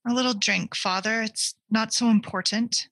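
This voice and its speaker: A woman's voice: on the high side, fairly even intonation, normal speed, a bit bright, no echo, voice pushed up. A woman voicing a pious woman prisoner who pleads. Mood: pleading.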